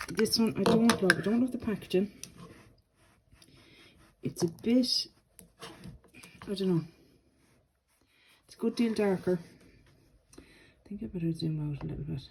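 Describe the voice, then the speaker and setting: A woman with a stuffy, snuffly voice from a cold, chatting casually through a product review with many ums. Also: fairly low pitch. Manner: relaxed and chatty, with frequent ums. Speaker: beauty vlogger. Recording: noisy home recording.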